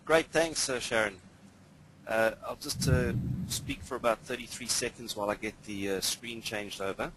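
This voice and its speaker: A man's voice: mid-range voice, steady tone, moderate pace, background noise. A man with a mixed international English accent, part British and part South African, presenting a live webinar as a trainer in an informal, enthusiastic, hesitant manner. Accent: mixed international English, hints of British and South African. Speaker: cybersecurity trainer.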